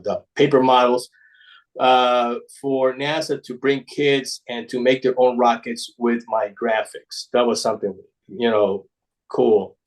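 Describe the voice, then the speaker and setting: A man from Ecuador speaks English at a slow pace with an Ecuadorian Spanish accent. His voice sits at a middling pitch. He presents informally as a guest, halting and restarting words as he goes. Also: poor audio. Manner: halting, with repeated word restarts. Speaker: guest presenter, paper model designer.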